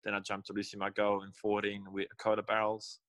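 Young man, lowish voice, loose rambling talk, dry close recording. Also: monotone, a little fast, fairly bright tone, no room sound. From Belgium. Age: young adult. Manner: rambling, restarts and self-corrections. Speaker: guest winemaker. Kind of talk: casual interview.

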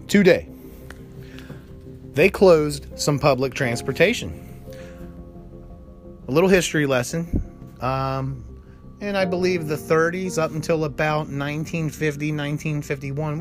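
An American man hosting a solo podcast, talking in a worried, rambling way and leaning on repeated words for emphasis. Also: fairly high pitch. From United States. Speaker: podcast host. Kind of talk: anxious solo podcast monologue.

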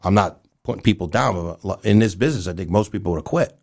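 A man in his fifties with a fairly low, gravelly voice chats as an interview guest. He speaks in an earnest, candid, conversational way, as someone who promotes events. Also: fast talker, a little mellow. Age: 50s.

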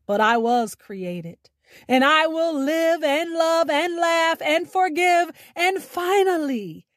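A woman hosting a radio show, speaking in a deliberate, emphatic way with dramatic pauses, as in scripted spoken word. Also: very high-pitched, lively intonation, no room sound, studio quality.

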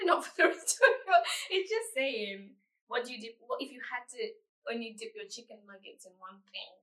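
A young woman with a notably high voice chats in an echoey room. She is playful and teasing, moving through questions in a casual way. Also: lively intonation, normal speed, a bit bright. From Kenya. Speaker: vlogger asking challenge questions. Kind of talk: casual question-and-answer chat.

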